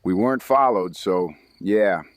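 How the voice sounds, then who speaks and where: A man's voice: sits low, measured pace, no echo. An actor playing a terse, commanding father leading an escape in a podcast drama, speaking in a clipped, matter-of-fact way. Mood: grave, measured. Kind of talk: fiction podcast drama acting.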